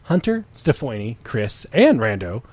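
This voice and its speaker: A man's voice: medium pitch, big pitch swings, measured pace, a little mellow, husky, no echo. A man reading fan fiction aloud as a storyteller, with wry, profane casual asides.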